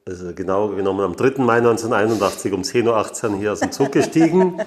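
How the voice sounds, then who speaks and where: A man in his sixties with an Austrian accent, giving reflective, deliberate interview answers as a guest, pausing as he thinks. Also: fairly low pitch, expressive, slight background noise. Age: 60s. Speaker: interview guest, business owner.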